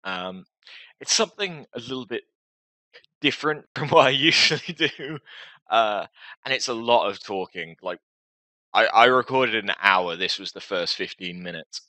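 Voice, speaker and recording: A man's voice: on the low side, animated, moderate pace, sharp tone, no room sound. A man talking casually and hesitantly to his viewers as a gaming video host, with a self-deprecating, rambling manner.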